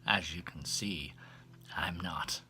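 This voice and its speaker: A man performs a flustered male entertainer in an audiobook, mortified and cornered. He answers in a low, subdued voice, terse and stiffly polite, clearly reluctant to talk. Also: takes it slowly, bright, forceful tone, slight background noise, heavily acted. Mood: embarrassed. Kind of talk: audiobook character voice.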